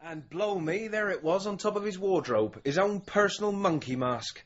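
Earnest policeman, lightish husky voice. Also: sits high, lively intonation, normal speed, highs cut off. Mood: matter-of-fact. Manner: earnest, cautioning. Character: earnest, exasperated male police officer. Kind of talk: British radio drama acting.

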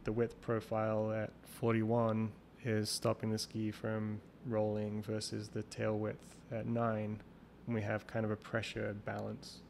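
A man with a gravelly, fairly low voice talks in a flat, level way, circling back and restarting his sentences as he thinks aloud. He is a guest in a casual interview, and a faint hiss of noise sits under the call recording. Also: measured pace, hardly any pauses. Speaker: guest, champion water skier.

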